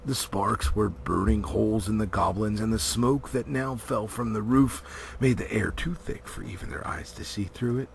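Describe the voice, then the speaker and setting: A man's voice: lowish voice, normal speed, gravelly. A man giving a theatrical, emphatic storybook narration as an amateur audiobook reader. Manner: theatrical, emphatic, with varying volume. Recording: noticeable background noise.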